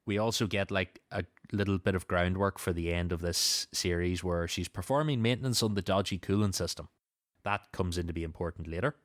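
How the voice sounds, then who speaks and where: A man's voice: low voice, fairly quick, no echo, studio quality. A man hosting a podcast, casually recapping and discussing a story with a co-host.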